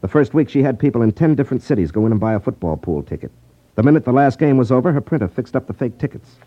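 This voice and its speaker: An actor playing a shrewd, unflappable detective, wry and self-assured, in a 1950s radio drama. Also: male voice, mid-range voice, animated, fast, lightly acted. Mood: confident explaining. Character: shrewd, unflappable male detective, coolly needling a crook. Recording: muffled vintage 1950s radio recording.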